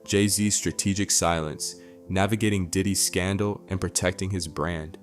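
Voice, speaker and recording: A man reading a scripted celebrity news narration in a measured, dramatic way. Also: deep voice, steady tone. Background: background music.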